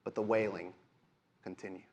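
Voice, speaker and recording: A man game-mastering a live tabletop game, narrating in deliberate, dramatic phrases. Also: low voice, fairly even intonation. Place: live convention stage show.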